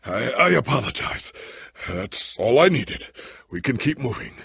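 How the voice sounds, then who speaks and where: Casting: noble veteran swordsman for a game; formal, earnest, theatrical, raspy, unhurried delivery with forceful edge. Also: sing-song, dry recording, clean studio sound, heavily acted. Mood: weakened, struggling. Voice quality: deep, gravelly, raspy. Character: noble veteran warrior hero, proud, earnest, ailing. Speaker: voice actor in a video game. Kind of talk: dramatic video game dialogue.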